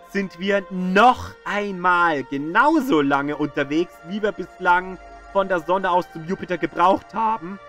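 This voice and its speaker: A man reading scripted educational narration in a measured, storytelling way with dramatic emphasis. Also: high voice, fairly bright tone. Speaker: narrator of an audio tour of the solar system. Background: music.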